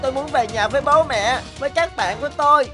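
An excitable young schoolboy from a cartoon, acted by a woman, quick to argue. The voice is childlike and pitched a little high even for a woman. Lines come out emphatic and dramatic over clear background music. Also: natural voice. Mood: distressed. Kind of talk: animated cartoon dubbing.

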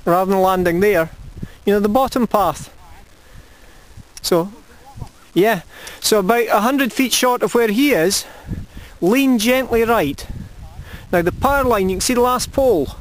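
High-pitched guy on a windy hilltop, chatting about paragliding, little bit of background hiss. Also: a little slow, long pauses, poor audio. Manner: talks through steps to himself. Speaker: paraglider pilot. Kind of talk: casual outdoor advice and launch checklist. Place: open hilltop outdoors.

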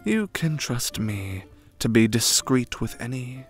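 A man's voice: medium pitch, sing-song, takes it slowly, soft background music. A man playing a frightening, formal young noble retainer, dry and secretive, with pompous, precise archaic diction, in an ASMR roleplay. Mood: guarded, discreet. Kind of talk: ASMR roleplay, scripted character acting.

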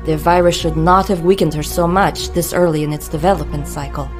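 An actress playing a cool, detached scientist working for villains in a video game cutscene, speaking precisely. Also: female voice, deep voice, steady tone, fairly quick, natural voice. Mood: clinical. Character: cool, clinical female scientist serving villains. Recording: music laid under the voice.

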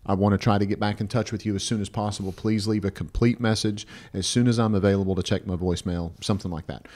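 Blunt, low-voiced man. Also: fairly even intonation, fast, darkish tone. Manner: blunt, emphatic, repeats phrases, checks with "right?". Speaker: podcast co-host. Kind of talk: conversational advice podcast. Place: small indoor podcast studio. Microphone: close podcast mic on stand.

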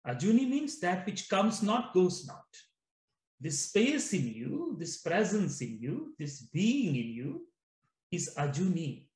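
Male meditation teacher, slightly high voice, very unhurried, deliberate phrasing with pauses, echoey room sound. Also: big pitch swings, a little mellow. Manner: measured, repetitive phrasing with frequent pauses. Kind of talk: guided meditation, slow deliberate instruction.

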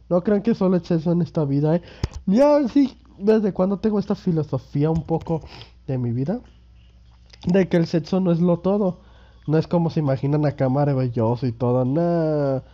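A man's voice: a little high, highs cut off. A young man in his twenties with a Mexican accent, sick with a cold, rambling through casual gameplay commentary with crude slang and self-mocking jokes. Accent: Mexican Spanish. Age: 20s. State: sick with a cold and sore throat. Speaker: gaming youtuber.

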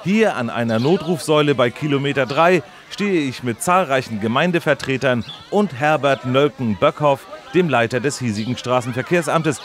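An actor playing a formal, earnest radio reporter delivering a wordy live report in a comedy sketch. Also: male voice, medium pitch, lively intonation, moderate pace, hardly any pauses, natural voice. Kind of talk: parody live news report.

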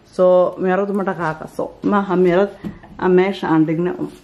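A woman giving a casual walking tour of her bright house, chatting and pointing things out as she films, often mixing in English words. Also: on the low side, steady tone, brisk, muffled recording. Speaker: vlogger giving a home tour. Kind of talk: casual home tour walkthrough. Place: bright open-plan house indoors.

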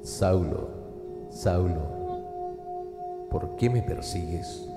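An actor playing the solemn voice of Jesus from heaven, grave and commanding, in a religious radio drama. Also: male voice, low voice, wildly swooping pitch, very slow, exaggerated voice. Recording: echoing long reverb, loud music.

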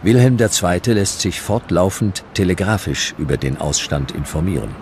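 Very deep-voiced male documentary narrator. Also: expressive, normal speed, darkish tone, slight background noise. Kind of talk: scripted historical documentary narration. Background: music.

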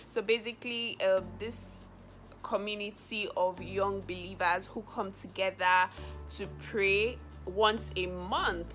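A young woman talks straight to her camera in a relaxed vlog. She takes her time, filling her sentences with "like" and "so". Soft music plays faintly behind her. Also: mid-range voice. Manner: chatty, leans on "like" and "so". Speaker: vlogger. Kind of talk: casual vlog chat to camera.

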